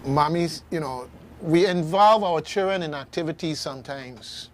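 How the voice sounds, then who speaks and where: A man with a Caribbean accent hosting an informal radio discussion in a halting, reflective way. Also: a little high. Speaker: radio host. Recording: faint background noise.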